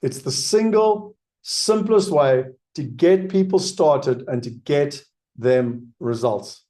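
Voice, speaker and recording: A man's voice: a little high, animated, slow pace, dark tone. A man with a South African accent hosting a live webinar, answering audience questions in an emphatic way that repeats key points. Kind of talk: live webinar Q&A sales pitch.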